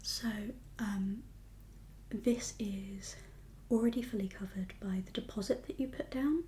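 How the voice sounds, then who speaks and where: A woman whispers softly as a kindly doctor in an ASMR roleplay. Her delivery is slow and reassuring, with a dark, mellow tone. A faint noise sits behind her voice. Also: mid-range voice. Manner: gentle, unhurried, reassuring professional. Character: kindly doctor for undead patients. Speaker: ASMR performer playing a doctor.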